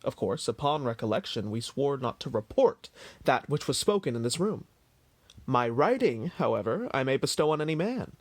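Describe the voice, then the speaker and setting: A man's voice: mid-range voice, very animated, normal speed, no room sound. A man playing a dutiful, discreet household servant in a period audio drama, formal, deferential and earnest. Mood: conspiratorial, careful. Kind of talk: period stage-play audio drama acting.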